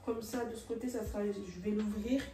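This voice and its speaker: A woman's voice: medium pitch, brisk. Chatty woman vlogger in a roomy bedroom. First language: French is her second language. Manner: chatty, many fillers and restarts, drops English phrases. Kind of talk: casual chat while tidying. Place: her bedroom, indoors. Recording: roomy and distant, with faint music.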